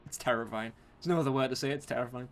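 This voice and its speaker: A man's voice: mid-range voice, quick pace, soft background music. A man co-hosting a podcast, giving emphatic opinions in casual chat and repeating himself to make a point. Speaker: podcast co-host. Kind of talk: casual film and game chat.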